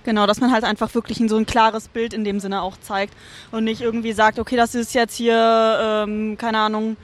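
A woman's voice: on the high side, flat delivery, normal speed. A woman co-hosting a casual discussion in colloquial, roundabout phrasing. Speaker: co-host.

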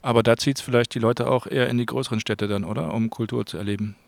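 A man's voice: mid-range voice, steady tone, fast talker, creaky, dry recording. A man working as an interviewer, asking questions in a casual, informal way.

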